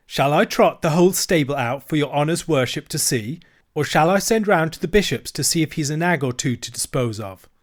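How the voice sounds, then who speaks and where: A man voicing a lean, scornful male horse dealer who talks in a rough, bullying dialect. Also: high voice, sing-song, moderate pace, few pauses, voice pushed up. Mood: mocking.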